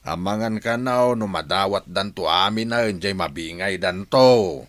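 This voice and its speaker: An actor playing a genial older village uncle who owns a garden, in an Ilocano radio comedy drama, speaking with an Ilocano accent. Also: male voice, deep voice, moderate pace, a bit bright, dry recording. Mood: amused.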